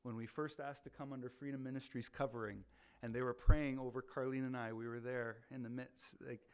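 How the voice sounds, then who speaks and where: Man, loud voice, animated, casual faith talk, repeats words. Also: mid-range voice, a little fast, clear, resonant tone. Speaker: ministry leader.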